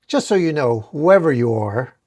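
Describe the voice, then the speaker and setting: A man's voice: medium pitch, lively intonation, normal speed, a little mellow, lightly acted. A menacing comic book killer as read aloud by a man. He is cold and taunting, handing out threats as plain matters of fact. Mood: cold menace.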